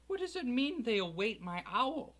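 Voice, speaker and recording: A man's voice: very high-pitched, sing-song, normal speed, fairly bright tone, voice pushed up, full character voice. A man doing a bewildered young boy. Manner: stammering, urgent questions.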